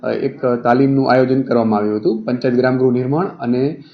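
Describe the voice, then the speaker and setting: Roomy, muffled, halting male official. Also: mid-range voice. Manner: halting, with hesitant pauses. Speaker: local government official. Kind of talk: formal spoken statement to a reporter. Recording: muffled, roomy, faint background noise.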